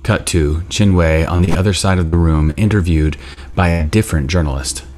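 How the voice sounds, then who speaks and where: A man's voice: sits low, normal speed, darkish tone, slight background noise. A man in his thirties reading screenplay narration aloud at a table read. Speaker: stage directions reader. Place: indoor room at home, video call.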